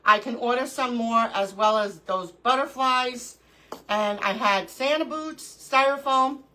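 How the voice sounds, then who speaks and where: A middle-aged woman hosts a casual crafting livestream from home. Her voice is bright and clear, though stuffy from allergies, and she sniffles now and then. She chats easily and greets viewers one by one by name. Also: a little high, unhurried, rough recording. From New York.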